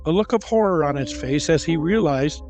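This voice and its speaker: Guy narrating a fantasy story, dramatic, slightly high voice, music behind him. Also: animated, moderate pace, darkish tone.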